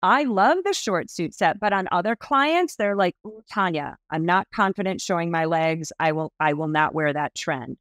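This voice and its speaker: A woman in her early fifties talks with bright enthusiasm as a podcast guest, her voice sitting a little low for a woman. She is recorded on a video call in a small room with a close, dry sound. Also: normal speed, hardly any pauses, no room sound. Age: 50s. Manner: animated, enthusiastic storytelling with expressive hand gestures. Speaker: guest stylist.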